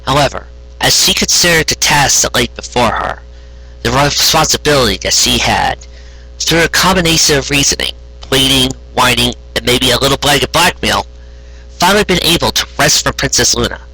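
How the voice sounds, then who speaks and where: A man narrating a scripted story aloud in a rough, husky voice. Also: mid-range voice, lively intonation, normal speed, pushed and bright, poor audio. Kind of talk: scripted fan fiction narration.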